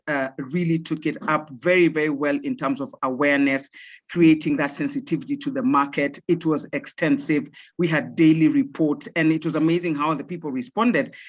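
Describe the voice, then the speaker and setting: A woman's voice: low voice, highs cut off. A woman from Kenya, giving earnest interview answers as a podcast guest and repeating words for emphasis. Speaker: podcast guest, software director.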